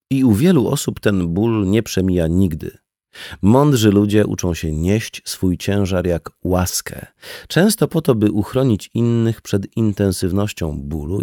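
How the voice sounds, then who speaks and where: A man's voice: low voice, warm tone, no echo, clean studio sound. A man reading a scripted audiobook dialogue as a narrator. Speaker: audiobook reader.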